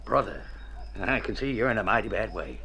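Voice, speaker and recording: A husky old male country doctor in a vintage radio play, his voice swinging widely in pitch. He is folksy, wry and teasing. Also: sing-song, brisk, bright, forceful tone, full character voice. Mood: grave, knowing. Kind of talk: vintage radio drama acting.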